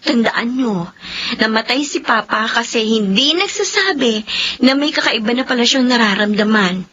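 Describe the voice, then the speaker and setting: A woman's voice: a little high, lively intonation, normal speed, a bit bright. A woman playing a caring, anxious young daughter in a Filipino radio drama, earnest and emotional. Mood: caring, nagging. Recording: muffled, noisy radio drama recording.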